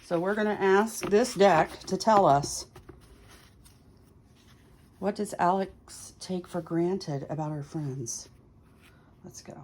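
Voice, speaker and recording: Slow-talking woman reading tarot, lower voice. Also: darkish tone, slight background noise. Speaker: tarot reader hosting a video series. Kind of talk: casual chatty card reading, thinking aloud to viewers.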